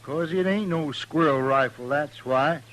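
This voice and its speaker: A man's voice: on the high side, a little slow, fairly bright tone, low-quality recording. A stubborn feuding mountain man from a vintage radio Western. He talks very unhurriedly in a thick Ozark hillbilly drawl. The old recording has a faint hiss of noise behind him. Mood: stubborn, calm. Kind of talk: 1950s Western radio acting.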